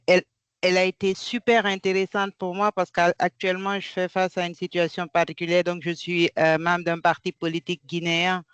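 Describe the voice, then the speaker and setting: A woman's voice: on the low side, clear, resonant tone, no echo. A woman from Guinea asking a question in an online conference over a video call, hesitant with many fillers and restarts. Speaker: audience member asking a question.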